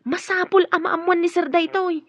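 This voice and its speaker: A woman's voice: extremely high pitch, flat delivery, normal speed, a bit bright, no echo, lo-fi sound. An actress playing a concerned, insistent woman of the household in a radio drama, urgent in manner. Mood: urgent, alarmed.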